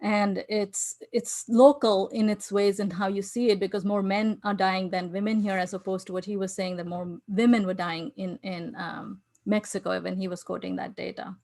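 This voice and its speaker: A woman's voice: mid-range voice, fairly even intonation, moderate pace, vocal fry, hardly any pauses, a little mellow. A woman from India with an Indian accent, giving an earnest conference talk over a video call, with frequent fillers and restarts. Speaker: conference speaker. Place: home in New York City.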